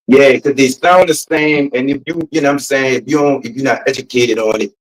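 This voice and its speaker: A man's voice: medium pitch, fairly even intonation, fairly quick, poor audio. A man in his late thirties with a New Orleans accent, hosting a podcast and asking questions in a laid-back way. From New Orleans, USA. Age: 30s. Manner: laid-back, repeats "you know what I'm saying". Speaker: podcast host. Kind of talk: casual interview questions.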